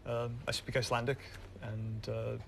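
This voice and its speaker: A man's voice: mid-range voice, little pitch movement, moderate pace, creaky, fairly bright tone, slight background noise. A man in his thirties from Michigan with an American accent, chatting informally as a musician guest in a studio interview. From Michigan, United States. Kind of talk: casual interview chat.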